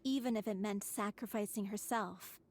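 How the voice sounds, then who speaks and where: A woman voicing a gentle, resolute young female performer in a video game, speaking sincerely and politely. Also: medium pitch, normal speed, lightly acted. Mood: solemn, resolute.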